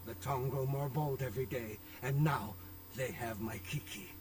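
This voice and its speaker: A man's voice: mid-range voice, normal speed, lightly acted. An actor playing a middle-aged Chinese restaurant owner in a video game, a worried father who speaks formally and courteously. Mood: distressed, apologetic. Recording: distant game audio with background noise.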